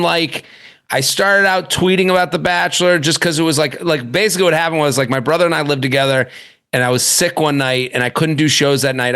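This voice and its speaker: A man's voice: fairly high pitch, creaky, a bit bright. A man in his forties chatting as a podcast guest, rambling with many fillers and restarts. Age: 40s. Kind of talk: casual chat.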